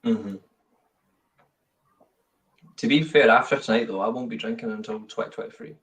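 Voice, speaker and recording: A man's voice: fairly low pitch, normal speed, fairly bright tone, far from the mic. A man co-hosting a football watchalong, bantering and swearing in casual chat. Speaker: watchalong co-host.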